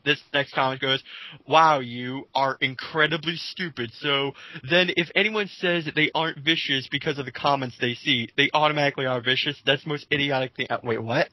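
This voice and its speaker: A man's voice: mid-range voice, fairly quick, pushed and bright, no room sound, rough recording. A man hosting a casual, sarcastic reading of online comments, exasperated and freely swearing.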